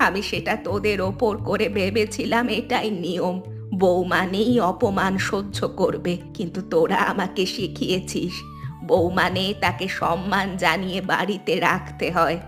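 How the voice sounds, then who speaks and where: A woman plays an elderly cartoon mother-in-law, humbled and full of regret. She speaks in an earnest, confessional way at a middling pitch, with soft music underneath. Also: steady tone, normal speed, close to an everyday voice. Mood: remorseful. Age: older. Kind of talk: Bengali cartoon family drama dialogue.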